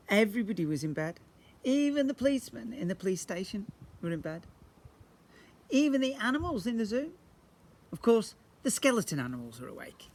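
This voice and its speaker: A man reading a storybook to young children, theatrical, with deliberate pauses and repetition. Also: sits high, slight background noise.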